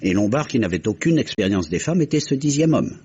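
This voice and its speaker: An actor playing a composed detective, measured and articulate as he explains, in an old French radio crime drama. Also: mid-range voice, big pitch swings, dark tone, breathy edge. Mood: composed, explanatory. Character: composed, methodical detective recounting a solved murder. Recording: muffled old radio recording, faint noise.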